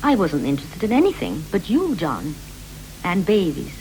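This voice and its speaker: Dreamy, lyrical wife, vintage radio. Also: female voice, lowish voice, wildly swooping pitch, a little mellow, soft background music, low-quality recording. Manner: measured, lyrical, short rhythmic phrases. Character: Mary, dreamy, possessive wife recalling wedding and marriage. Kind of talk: 1960s BBC radio play, poetic stylised dialogue. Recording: 1960s radio recording with hum, hiss.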